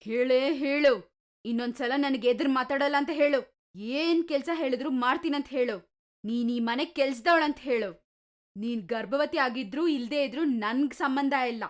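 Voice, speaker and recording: A woman's voice: sits high, moderate pace, close to an everyday voice. A woman voicing a cruel middle-aged stepmother who scolds and orders her stepdaughter around. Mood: furious. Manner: harsh, commanding, taunting. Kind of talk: cartoon story voice acting.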